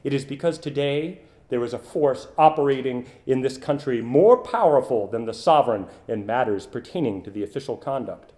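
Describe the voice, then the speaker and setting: A man's voice: mid-range voice, animated, moderate pace, few pauses, mellow. A man re-creating a formal 1890s political speech as an orator, emphatic and declamatory, posing rhetorical questions.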